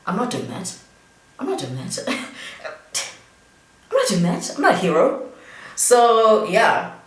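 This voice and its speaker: A woman's voice: mid-range voice, very animated, unhurried. Young South African woman vlogger, a bit tipsy, loose rambling delivery; roomy sound with the mic at a distance. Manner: rambling, chatty, many fillers. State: tipsy from drinking. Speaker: beauty vlogger. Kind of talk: casual storytime chat.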